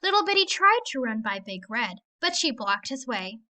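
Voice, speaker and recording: A woman narrating a children's story aloud in an expressive read-aloud style. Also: on the high side, normal speed. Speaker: storybook reader.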